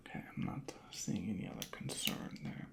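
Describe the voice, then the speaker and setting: A soft-spoken ASMR creator murmuring methodically in a breathy, whispery voice, unhurried and close to the microphone. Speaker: ASMR creator playing a record inspector.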